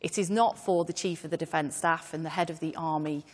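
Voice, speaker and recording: Low female panelist, firm, hall reverb. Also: steady tone, brisk. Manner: firm, corrective. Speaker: panelist, politician. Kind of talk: televised debate.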